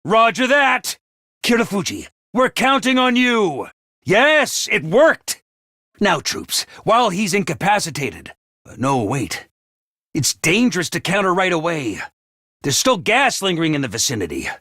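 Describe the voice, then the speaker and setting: A man's voice: high voice, very animated, unhurried, bright, forceful tone, dry recording, clean studio sound, exaggerated voice. An actor voicing a pompous, cheery male academy commander in a video game, giving rousing speeches to his troops. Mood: commanding. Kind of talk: game voice lines.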